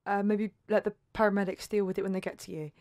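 Actress as flustered caller, very dry sound. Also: female voice, medium pitch, level tone, quick pace, a little mellow, no room sound, lightly acted. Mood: uneasy, apologetic. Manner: hesitant, stammering, concerned. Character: flustered, kindly female cold caller, increasingly alarmed. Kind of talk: naturalistic audio drama acting.

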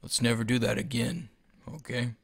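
Actor as a gravelly, casual drug dealer. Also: male voice, medium pitch, moderate pace, no echo, studio quality. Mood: warm, awkward. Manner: casual, foul-mouthed. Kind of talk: audio drama acting.

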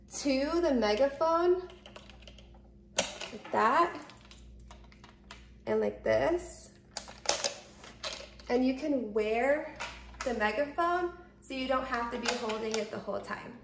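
Woman, thirties, fairly high voice, noticeable vocal fry, casual instructional delivery, simple repeated steps, home product demo. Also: a little hiss or hum. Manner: instructional, repetitive, simple explanations. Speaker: product reviewer. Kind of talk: casual product demonstration, step by step. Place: indoors, open kitchen and dining room at home.

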